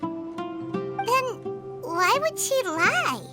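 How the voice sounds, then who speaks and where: An extremely high, childlike fairy girl from an anime video game. She is feisty and squeaky, exclaiming with big swoops of pitch. She takes her time over the words, with music playing behind her. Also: sing-song, very slow, exaggerated voice. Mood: curious, chatty. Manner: squeaky, exclamatory, speaks of herself in third person.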